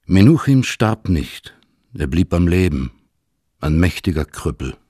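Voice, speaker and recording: Low, husky male narrator, dry studio. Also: very animated, unhurried, dark tone, no echo. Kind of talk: literary scripted narration in a radio play.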